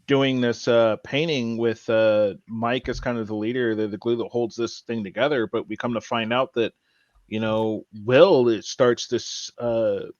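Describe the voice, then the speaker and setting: A man co-hosting a podcast, casually discussing a TV show and haltingly thinking aloud as he explains. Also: medium pitch, fairly even intonation, no echo, dull audio. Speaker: podcast co-host.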